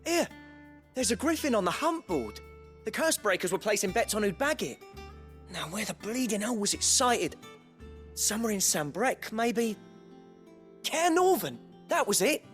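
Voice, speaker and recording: An actor playing a friendly, cheeky working-class British sidekick in a video game, informal and eager. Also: male voice, high-pitched, big pitch swings, normal speed, pauses often, fairly bright tone, faint music behind. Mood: helpful, upbeat.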